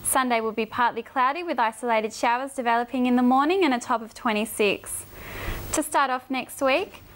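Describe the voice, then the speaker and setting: Australian female weather presenter, higher-pitched, reading a scripted TV forecast. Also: fairly quick, fairly bright tone, slight background noise.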